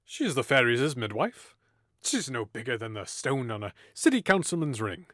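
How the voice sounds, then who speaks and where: Male actor as a restless, teasing young nobleman for audio drama; lively swings, dry booth. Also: medium pitch, moderate pace, no room sound. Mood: playful. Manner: playful, teasing, theatrical, swings from hushed to loud.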